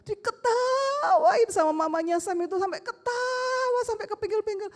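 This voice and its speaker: A middle-aged woman pastor with a Surabaya Javanese accent, preaching a lively sermon full of rhetorical questions. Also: very high voice, normal speed. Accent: Surabaya Javanese Indonesian. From Surabaya, Indonesia. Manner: animated storytelling with rhetorical questions, acts out family dialogue. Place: church sanctuary. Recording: long church-hall reverb.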